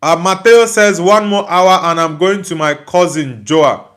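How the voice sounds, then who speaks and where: A man's voice: sits high, measured pace, fairly bright tone. A young Nigerian man hosting a livestream. He reads viewer comments aloud, answers each one in a friendly way, and keeps asking for likes and subscribes. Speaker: livestream host reading chat. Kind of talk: casual live chat.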